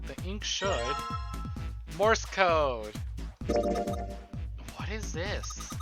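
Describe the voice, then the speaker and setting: A male streamer playing a story game live, casually muttering through puzzle steps and cracking jokes. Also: fairly high pitch. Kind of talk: live game playthrough, reading and commenting. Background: game music.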